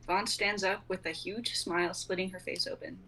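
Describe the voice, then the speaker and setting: A woman's voice: fairly low pitch, steady tone, normal speed, sharp tone. A young woman giving scripted narration as the reader of stage directions, on noisy livestream audio. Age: young adult.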